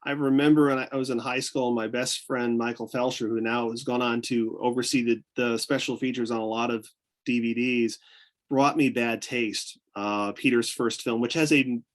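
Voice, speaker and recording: An excited American man with a mixed Southern and Northern accent, chatting as a guest. His voice sits mid-range and swings up and down as he rambles and restarts his thoughts. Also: medium pitch, normal speed. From upstate New York. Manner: enthusiastic, rambling with many restarts and fillers. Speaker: guest, photographer. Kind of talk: casual interview chat.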